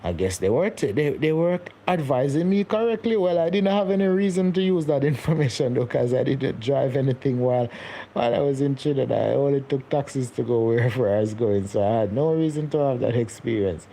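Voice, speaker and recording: A man's voice: mid-range voice, expressive, brisk, mellow, rough recording. Rambling man on a live stream. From Jamaica. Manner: rambling, repeats words, laughs often. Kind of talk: casual live-stream chat reading comments.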